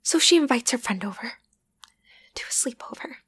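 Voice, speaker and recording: A woman voicing an obsessive, lovesick young yandere best friend in an ASMR roleplay, halting and intimate, often slipping into a whisper. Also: fairly high pitch, lively intonation, pushed and bright, exaggerated voice. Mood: agitated, jealous, then fragile, pleading. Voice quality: breathy, often dropping to a whisper. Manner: halting, intimate, wavering between tender and agitated. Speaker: voice actor.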